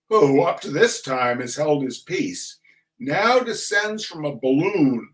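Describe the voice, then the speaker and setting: A man giving a theatrical reading of a humorous text in a mock-solemn, deliberate manner with dramatic pauses. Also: fairly high pitch, low-quality recording. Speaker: reader of a comic essay.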